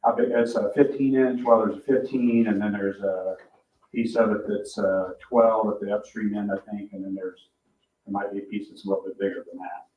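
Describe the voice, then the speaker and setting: A man presenting as a project engineer, explaining informally in a halting, self-correcting way. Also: on the low side, fairly even intonation, a little mellow, slight background noise. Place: public meeting room. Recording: echoey room, distant muffled mic.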